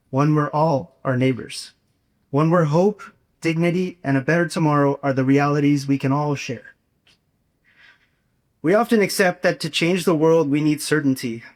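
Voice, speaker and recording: Higher-voiced young man giving an earnest speech. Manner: earnest, rhetorical, measured phrasing. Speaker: student speaker at a campus gathering. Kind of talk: prepared inspirational speech.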